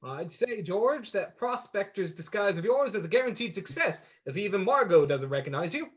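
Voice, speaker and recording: A suave radio-play sleuth acted by a man on a dull, muffled recording. His voice is high for a man and husky, and he is confident and in charge. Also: lively intonation, moderate pace. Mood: teasing, assured. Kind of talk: old-time radio drama acting.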